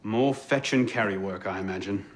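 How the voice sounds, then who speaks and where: An actor playing a sardonic, defiant young assassin in a video game, with a dry, wry delivery. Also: male voice, lowish voice, normal speed, close to an everyday voice. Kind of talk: dramatic video game voice acting.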